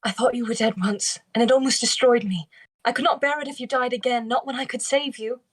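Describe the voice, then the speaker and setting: A woman's voice: a little high, steady tone, brisk, close to an everyday voice. A woman playing a devoted, earnest young noblewoman in an amateur script table read. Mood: sorrowful.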